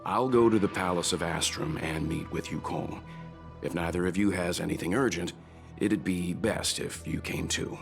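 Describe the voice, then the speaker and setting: A man playing a composed, dutiful, serious character in a video game, speaking politely and calmly. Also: low voice, moderate pace, gravelly, over music. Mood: sober. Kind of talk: English video game voice acting.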